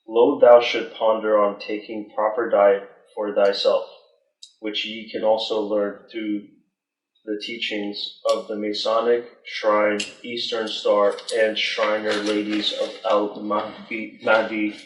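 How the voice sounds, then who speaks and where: A man's voice: on the low side, monotone, takes it slowly. A young man from northern Iran reading a passage aloud to a study group, then explaining it in a hesitant, casual way with many fillers. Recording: echoey room, mic far off.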